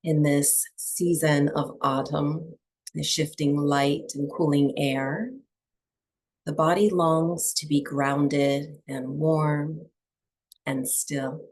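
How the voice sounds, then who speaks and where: A woman teaching breathwork and meditation to a small group, speaking softly and soothingly with long pauses between phrases. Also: sits low, fairly even intonation, rough recording. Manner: soft, unhurried, soothing delivery with long pauses.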